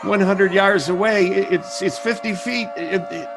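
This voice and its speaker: An actor playing a radio reporter in a radio drama, giving a halting, stammering live report. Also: male voice, sits high, steady tone, background noise. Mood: frantic. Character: frantic rooftop radio reporter. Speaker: reporter, then announcer. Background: music.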